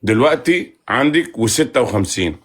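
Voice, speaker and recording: A man's voice: medium pitch, expressive, moderate pace. A man hosting a casual live chat in banter, teasing, bargaining and often repeating himself. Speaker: live stream host.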